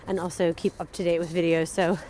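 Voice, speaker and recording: A woman's voice: lowish voice, little pitch movement, fast, warm tone, slight background noise. A young woman from the south of England with a congested cold, chatting through a casual pregnancy vlog in an exasperated, rambling way, full of fillers. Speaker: vlogger.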